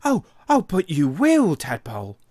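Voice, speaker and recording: Man doing an excitable high-voiced storybook rabbit. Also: a little slow, no echo, clean studio sound, heavily acted. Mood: excited, boastful. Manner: excited, emphatic. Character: twitchy-nosed boastful excitable rabbit. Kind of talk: children's storybook character voice.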